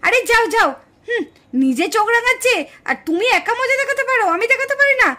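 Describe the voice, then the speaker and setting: A woman's voice: extremely high pitch, animated, quick pace, sharp tone, exaggerated voice. A woman voicing a quarrelsome, indignant village daughter-in-law in a puppet cartoon family drama. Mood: angry, defiant. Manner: scolding, indignant, exclamatory.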